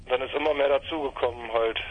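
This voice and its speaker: A man's voice: mid-range voice, steady tone, fast, a little hiss or hum. A man in his thirties from northwestern Germany, a fanzine maker talking casually over the phone, rambling and hesitant with fillers. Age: 30s. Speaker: fanzine maker, interview guest. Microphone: telephone. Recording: tinny phone line, husky.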